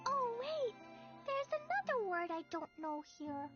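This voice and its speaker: Excitable, very high-pitched little girl. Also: unhurried, full character voice. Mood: curious, unsure. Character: excitable, curious little girl, innocent and cheerful. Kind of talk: video game character acting. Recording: slightly muffled game capture under music. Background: game music.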